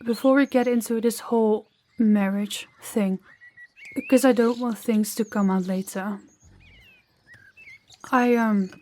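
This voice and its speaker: A woman playing a gentle, candid young princess in an intimate audio roleplay, soft-spoken and confiding with hesitant pauses. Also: medium pitch, close to an everyday voice.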